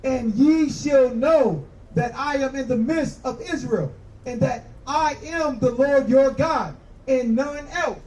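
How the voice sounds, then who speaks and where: A man's voice: extremely high pitch, takes it slowly, darkish tone. A man street preacher debating in an insistent, instructive way, often repeating his points, recorded from a distance outdoors. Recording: distant mic, faint outdoor street noise.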